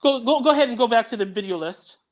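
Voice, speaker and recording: A man's voice: very high-pitched, lively intonation, fast talker. A man who produces audio dramas chats casually on a call, eagerly directing his host through clips. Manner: eager, directive, talks over others. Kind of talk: casual video-call chat.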